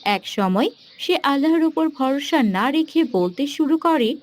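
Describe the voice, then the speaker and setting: A woman's voice: sits high, lively intonation, unhurried. A woman reading scripted narration for a cartoon moral story.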